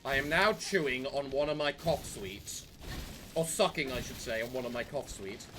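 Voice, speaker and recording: A man doing gaming commentary with a voice strained from yelling. He swears and rants at the game in exasperation. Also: medium pitch, normal speed, noisy background. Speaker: let's play host.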